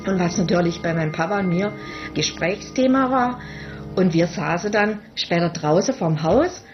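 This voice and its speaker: A woman's voice: on the low side, animated, moderate pace, music in the background. A woman telling personal memories in an interview, with muffled sound. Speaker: policeman's daughter interviewed.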